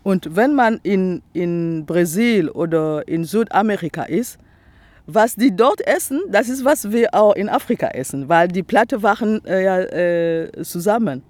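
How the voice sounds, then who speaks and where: Woman, Congolese accent, native Teke speaker, interviewee, slow and halting, many fillers, dry sound. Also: mid-range voice, animated, a little mellow, no room sound. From Republic of the Congo. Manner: halting non-native German, searching for words with many fillers. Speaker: artist, interviewee. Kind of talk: casual interview chat. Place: outdoors in the grass by a lake.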